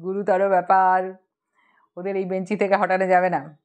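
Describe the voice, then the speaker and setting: A woman's voice: mid-range voice, level tone, normal speed, a little mellow, pitched below the performer's own voice, close to an everyday voice. A woman voicing a cheerful, cheeky young man who gossips and teases in an audiobook story. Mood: gleeful gossip. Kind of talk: audiobook character dialogue.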